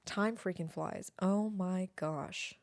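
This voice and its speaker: Female podcaster, late twenties. Lowish register, dry sound, casual and reflective delivery. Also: slow pace, creaky, dry recording. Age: late 20s. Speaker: podcast host. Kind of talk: casual solo podcast chat. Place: at home near an airport.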